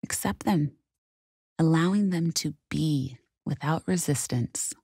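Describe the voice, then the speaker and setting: A woman leading a guided meditation in a calm, gentle, soothing and measured way. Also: sits low, vocal fry, slightly rough, dry recording, studio quality.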